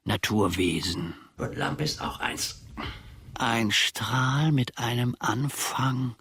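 An older actor in a radio play, playing a grumbling, self-important old manservant with a husky, gravelly voice, rambling pompously to himself. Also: male voice, mid-range voice, lively intonation, takes it slowly, pushed and bright, full character voice. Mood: puzzled, grumbling, then savouring, declaiming.

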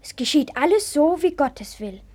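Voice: high voice, sing-song, normal speed, warm tone. A performer playing a trusting, willing young boy in a 1960s German radio play. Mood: earnest, trusting.

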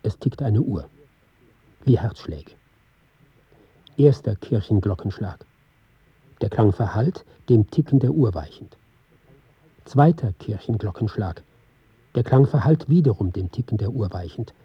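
An intent reciter of a sound score in a radio play. His voice is very husky and sits at a medium pitch, with precise, deliberate phrases. The studio sound is dry and slightly dull. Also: lively intonation, no echo, exaggerated voice. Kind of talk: dramatic scripted reading.

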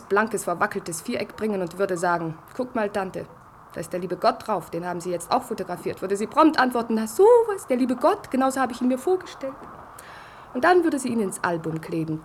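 A woman's voice: mid-range voice, slight background noise, natural voice. An actress playing a bitter, coarse, disillusioned woman in a German radio play, blunt and mocking. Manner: scornful, blunt, monologuing.

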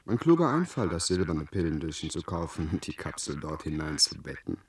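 An actor playing a cunning, self-satisfied accomplice in a German radio drama, sly and lecturing, in a reverberant, distant voice. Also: male voice, very deep voice, very animated, brisk, a little mellow. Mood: smug, coaxing. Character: smooth-talking, cunning male accomplice, coolly self-satisfied. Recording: echoey, distant from the microphone.

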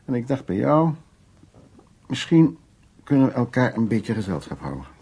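An actor playing a worldly, lonely, insistent suitor in a naturalistic radio drama, musing and teasing in a husky, gravelly voice. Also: male voice, mid-range voice, very animated, normal speed, warm tone. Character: worldly, insistent, lonely man courting a younger woman.

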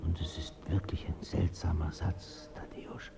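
A man's voice: deep voice, flat delivery, normal speed, vocal fry, music in the background. A man acting in a German radio play as an earnest, troubled friend seeking answers, insistent and dryly sardonic. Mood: hushed, troubled.

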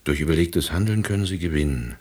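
An actor voicing printed horoscopes and adverts in a radio play, in a measured, declarative manner. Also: male voice, a bass voice, animated, a little mellow, husky, full character voice. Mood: assured, oracular. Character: pronouncing voice of a horoscope and job advert. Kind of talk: radio drama read-out announcement.

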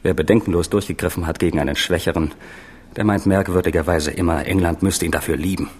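A male actor plays a resentful younger prince in a radio play. His low voice is confiding and sardonic, full of bitter scheming. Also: fairly even intonation, fast, a little mellow, close to an everyday voice. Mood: bitter, scornful.

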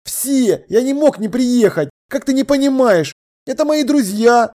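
A man voicing a distraught young boyfriend in an audio drama, loudly and emphatically pleading. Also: very high-pitched, expressive, unhurried, voice pushed up. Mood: pleading, defensive. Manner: loud, emphatic, imploring.

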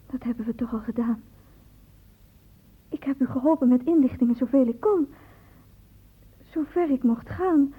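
A woman's voice: sits high, moderate pace, long pauses, husky. A woman playing an anxious, guarded and loyal young student in a Dutch radio drama. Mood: guarded, defiant. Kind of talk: Dutch radio play acting. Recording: muffled, phone-like old radio recording.

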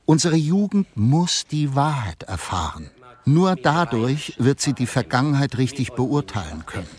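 An actor playing a resolute official in a radio play, speaking formally and deliberately. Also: male voice, medium pitch, big pitch swings, a little mellow. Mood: firm, earnest.

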